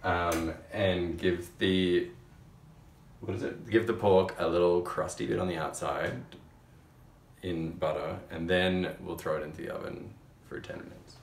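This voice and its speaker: A young man with a low, deep voice talks casually while he cooks, rambling through stories with lots of fillers. He is recorded in an echoey home kitchen, a few feet from the camera mic, with some background noise. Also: steady tone, measured pace, recorded from a distance. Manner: chatty, rambling storyteller with many fillers. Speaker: vlog host cooking. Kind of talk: casual cooking vlog chat.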